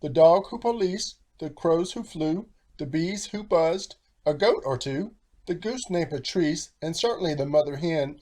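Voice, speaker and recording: A man reading a children's storybook aloud in a theatrical, animated style with rhyming phrasing. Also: fairly high pitch. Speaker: storybook narrator, author.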